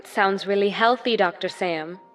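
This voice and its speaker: A woman's voice: medium pitch, unhurried, a bit bright, faint music behind, close to an everyday voice. A woman playing a polite young 1950s housewife and mother in a radio drama. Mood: cheerful.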